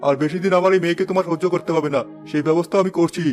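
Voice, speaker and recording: A man's voice: a little high, steady tone, racing, a little mellow, faint music behind, close to an everyday voice. A man voicing a caring, protective middle-aged father in a cartoon, firm with his wife.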